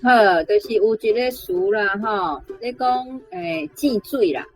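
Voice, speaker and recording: A woman's voice: on the high side, animated, a little slow, gravelly, a little mellow, soft background music, poor audio. A woman teacher with a Taiwanese accent explaining word usage conversationally, often checking with tag questions. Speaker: language teacher.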